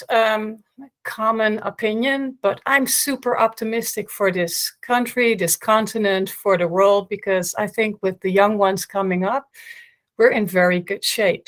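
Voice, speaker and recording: A woman from the Netherlands with a Dutch accent, speaking as a panelist and introducing herself in a measured way with many fillers. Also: mid-range voice, fairly bright tone.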